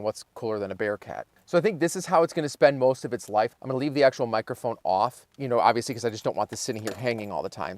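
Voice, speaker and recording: A man's voice: medium pitch, dry recording. A man giving a casual how-to, thinking aloud informally as he works with his hands. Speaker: host of a car installation how-to channel. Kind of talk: casual hands-on tutorial, talking through the work.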